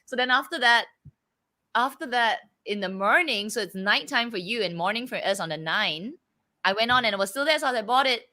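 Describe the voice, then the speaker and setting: This is a young woman with a Malaysian English accent chatting casually as a co-host. Her voice sits a little high and sounds bright. Her pitch swings widely while she talks. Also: brisk. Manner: animated, laughs often, mimics accents. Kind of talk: casual chat.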